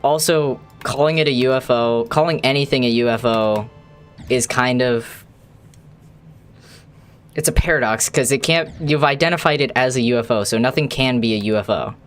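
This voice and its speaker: A man's voice: medium pitch, normal speed. A man live-streaming a game, talking casually and loosely to his viewers. He rambles from one thought to the next, answers chat, and swears now and then. Faint game music plays underneath. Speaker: gaming streamer. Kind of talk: casual live-stream commentary while playing.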